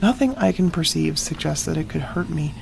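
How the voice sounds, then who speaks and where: An actor playing a wary, stern great-cat hunter, narrating in the first person in grave, clipped, deliberate phrases for an audio drama. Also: male voice, a little high, animated, vocal fry, slight background noise, low-quality recording. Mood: wary, assessing. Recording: music under the voice. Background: dramatic music.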